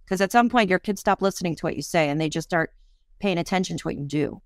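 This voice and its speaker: A woman's voice: lowish voice, fast, smooth, no echo, clean studio sound. A woman in her fifties, a parenting coach and author, talking earnestly and reflectively in a podcast interview, with self-deprecating honesty.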